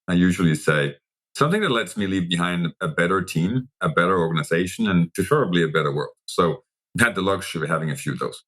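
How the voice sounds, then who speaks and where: Very deep-voiced Danish-accented man. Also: lively intonation, fast talker, fairly bright tone. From Denmark. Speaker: interview guest, technology executive. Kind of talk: explanatory interview talk.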